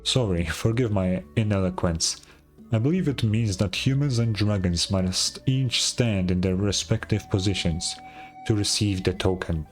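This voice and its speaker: Give me a man playing an earnest scholarly game guide, slow, formal and courteous, over music. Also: lowish voice, lightly acted. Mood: apologetic, thoughtful. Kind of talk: video game voice acting.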